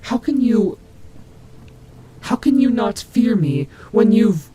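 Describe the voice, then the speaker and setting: A woman's voice: medium pitch, big pitch swings, crowd noise. A woman voicing a menacing, taunting nightmare animatronic rabbit in a scripted audio roleplay, with a mocking, theatrical delivery. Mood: flustered, incredulous. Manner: slow, mocking, theatrical drawl with whispered asides.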